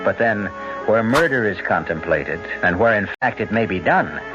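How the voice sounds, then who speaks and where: A man's voice: on the low side, animated, normal speed, muffled recording. Wry male radio-drama host. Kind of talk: ominous scripted radio narration. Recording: old radio broadcast with music.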